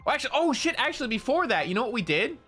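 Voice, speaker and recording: A young man with a nasal voice, chatting loudly and excitably on a casual stream, full of slang. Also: very high voice, rapid-fire, soft background music.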